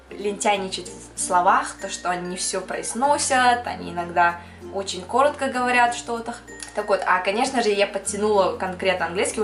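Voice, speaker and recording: A woman's voice: on the low side, a bit bright, roomy sound. A young woman from Kyrgyzstan who works as a makeup artist, chatting casually to camera and answering viewers' questions, sprinkling in English words and laughing now and then.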